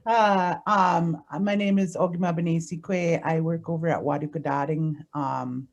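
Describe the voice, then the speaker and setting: A woman teacher introducing herself on a video call, a little hesitant and quiet. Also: on the low side, fairly even intonation, unhurried, muffled recording. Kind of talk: self-introduction.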